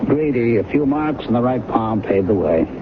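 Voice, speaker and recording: An actor playing a brisk, suspicious, take-charge newsman in an old radio mystery, blunt and wisecracking. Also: medium pitch, lively intonation, lightly acted. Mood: brisk, confident. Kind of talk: old radio drama acting. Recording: tinny, noisy old radio recording.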